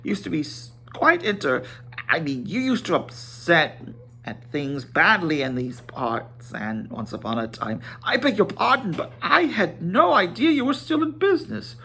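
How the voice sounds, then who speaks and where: Man voicing a fussy, high-pitched, swooping hobbit gentleman. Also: wildly swooping pitch, normal speed, vocal fry, full character voice. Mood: excited, apologetic. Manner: polite, exclamatory, rambling. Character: fussy, flustered, excitable little hobbit gentleman. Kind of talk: dramatic storybook reading.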